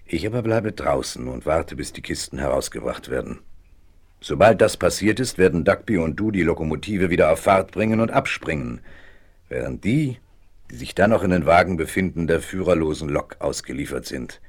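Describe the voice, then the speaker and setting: A man's voice: very deep voice, lively intonation, moderate pace, husky, heavily acted. A man playing a ruthless, scheming gang boss in a German radio play, cynical and menacing. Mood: sly, gloating. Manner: sneering, menacing. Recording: 1970s vinyl radio play.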